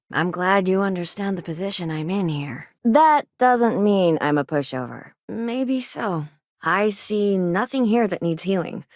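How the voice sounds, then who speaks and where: An actress playing a principled, caring but firm frontier doctor in a science-fiction video game, speaking in a wry, matter-of-fact way. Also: female voice, fairly low pitch, no echo, studio quality. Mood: dry, professional. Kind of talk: naturalistic video game dialogue acting.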